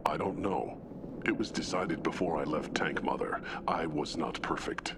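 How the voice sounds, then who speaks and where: An actor playing a solemn, childlike tank-bred alien brute in a video game, with a gravelly growl and halting, simple phrases. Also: male voice, heavy background noise. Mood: resigned, plain. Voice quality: very deep, gravelly, breathy growl. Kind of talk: dramatic video game acting.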